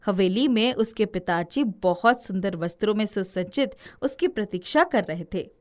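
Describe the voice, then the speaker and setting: A woman's voice: mid-range voice, expressive, normal speed. A woman narrating a scripted children's tale as the storyteller.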